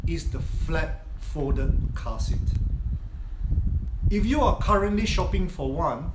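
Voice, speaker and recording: A middle-aged man giving a slow, deliberate scripted intro to camera from a home office. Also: on the high side. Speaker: vlogger presenting an intro. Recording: roomy echo with loud background noise.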